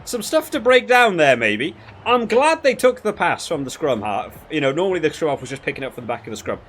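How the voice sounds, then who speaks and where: A man's voice: fairly high pitch, sing-song, a little fast, a bit bright. Man doing casual game commentary over background noise. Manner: rambling, thinking aloud with frequent hedging. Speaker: gaming video creator reviewing a rugby game.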